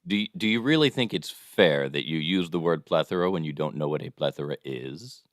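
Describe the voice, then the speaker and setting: A man's voice: extremely low pitch, animated, fairly quick, no echo. A man producing a podcast, congested from allergies and losing his voice, teasing his co-host in casual, dry banter. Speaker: executive producer.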